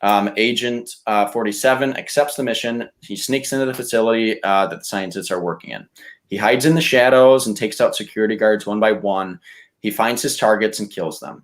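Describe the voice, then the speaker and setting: A man chatting casually and reading out pitches as a podcast contestant. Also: brisk. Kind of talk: casual chat with read-out pitches.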